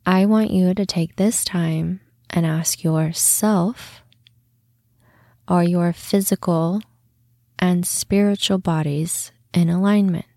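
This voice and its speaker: A woman hosting a guided meditation, speaking in a soothing, unhurried manner with long pauses and softly breathed phrase endings. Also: on the low side, fairly even intonation, dry recording, clean studio sound. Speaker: podcast host and meditation guide.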